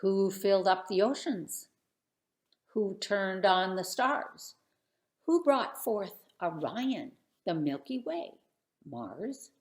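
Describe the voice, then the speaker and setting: A woman's voice: mid-range voice, big pitch swings, takes it slowly, a little mellow, voice pushed up. A woman voicing God, asking grand questions from a whirlwind. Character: all-powerful God speaking from whirlwind. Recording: roomy echo.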